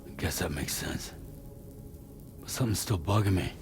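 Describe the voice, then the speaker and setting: An actor playing a gruff, wary, reluctant courier in a cinematic video game, terse and skeptical with a gravelly voice. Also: male voice, normal speed, background noise, lightly acted. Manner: terse, skeptical, low-key.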